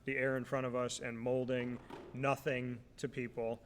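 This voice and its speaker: A man's voice: medium pitch, normal speed, in a large hall, slight background noise. Joking talk-show host man from New York. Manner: lively storyteller, jokey. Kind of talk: casual interview chat.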